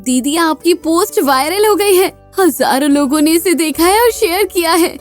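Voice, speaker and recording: High, lively eager neighbour played by a woman. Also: moderate pace, faint music behind. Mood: excited. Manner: eager, encouraging. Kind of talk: Hindi moral-story cartoon dialogue.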